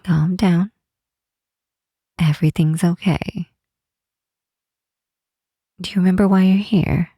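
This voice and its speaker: A woman voicing a protective, commanding yet tender Demon Queen in an ASMR roleplay, speaking softly and breathily, often whispering, in a soothing, gently insistent way. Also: on the low side, steady tone, slow, long pauses, breathy edge, no echo, studio quality, full character voice. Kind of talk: ASMR roleplay, intimate comforting monologue.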